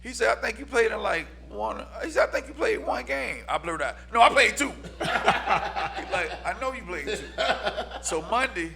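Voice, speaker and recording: A man's voice: sits high, normal speed, creaky, a crowd in the background. A man from Tampa, a podcast guest, telling a story in casual chat and acting out what people said. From Tampa, Florida. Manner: animated, laughing storyteller acting out quotes.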